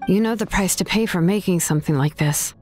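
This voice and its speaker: A woman's voice: fairly low pitch, brisk, darkish tone, soft background music, natural voice. A woman playing a shrewd young craftswoman in a video game, composed, analytical and skeptical. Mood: serious.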